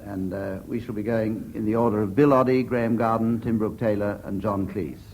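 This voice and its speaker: A man's voice: on the low side, fairly even intonation, fairly quick, a little mellow, a little hiss or hum, rough recording. A British man chairing a comedy panel show in a dry, formal, understated and rather hesitant way. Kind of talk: dry comic game-show presenting.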